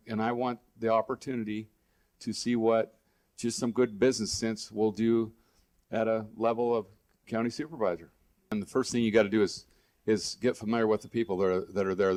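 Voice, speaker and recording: A man's voice: medium pitch, a little mellow. American man, plainspoken and folksy interview guest, mid-range voice, circles back over his phrases. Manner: plainspoken, folksy, repeats and restarts phrases often. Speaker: guest, local political candidate. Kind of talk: unscripted radio interview answers.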